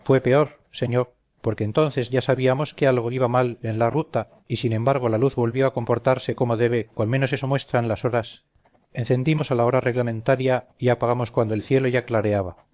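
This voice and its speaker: A man's voice: mid-range voice, fairly even intonation, brisk, darkish tone, dull audio, close to an everyday voice. A man playing a dutiful old lighthouse keeper and veteran sailor in an audio story, earnest and deferential, speaking formally. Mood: troubled, grave. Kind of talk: audio story character dialogue.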